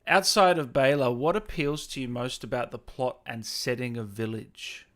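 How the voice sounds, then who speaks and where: A man's voice: medium pitch, normal speed, fairly bright tone. A man hosting a casual interview, speaking in an agreeable, slightly hesitant conversational way. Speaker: interviewer, channel host. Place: on a video call.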